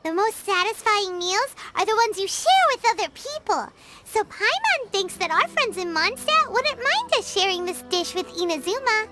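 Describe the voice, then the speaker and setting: A woman's voice: very high voice, very animated, normal speed. A woman voicing a tiny, bubbly female fairy-like companion in a game cutscene, speaking of herself in the third person. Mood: cheerful. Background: game music.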